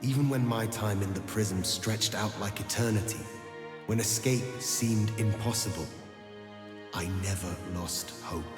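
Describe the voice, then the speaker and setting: A man acting a slow, echoing, solemn alien prince. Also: on the low side, natural voice. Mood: mournful. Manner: grave, formal, measured. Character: solemn githyanki prince turned illithid, resolute and regal. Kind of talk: dramatic video game acting. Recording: echoey long reverb under loud music.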